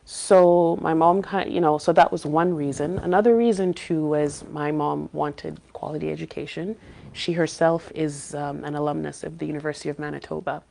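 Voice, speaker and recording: A woman with a low, gravelly voice talks as a podcast guest. She grew up in Saudi Arabia and answers in a measured, reflective way, often pausing to find the right word. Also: fairly even intonation, warm tone, slight background noise. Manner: thoughtful, searching for words with frequent pauses. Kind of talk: casual interview talk.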